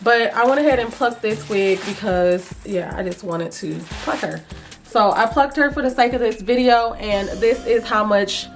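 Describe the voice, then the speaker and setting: A woman vlogger chatting casually and playfully to viewers about beauty products, with a slightly raspy, froggy voice and an informal manner. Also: fairly high pitch, normal speed, faint music behind. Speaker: vlogger reviewing wigs.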